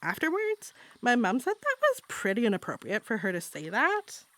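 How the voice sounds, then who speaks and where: A woman's voice: a little high, wildly swooping pitch, normal speed, fairly bright tone, no room sound. Woman acting an indignant, chatty young girl, close and dry. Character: anxious, indignant eleven-year-old girl, chatty and easily delighted. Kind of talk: theatrical monologue reading.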